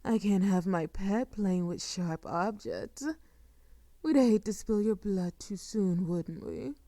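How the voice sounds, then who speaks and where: Woman acting a slow-speaking, seductive sadistic vampire mistress. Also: medium pitch, mellow, no room sound. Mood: commanding, gloating. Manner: slow, commanding, mock-tender, often dropping to a breathy whisper. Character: sadistic, seductive female vampire, possessive and doting. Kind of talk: intimate audio roleplay acting.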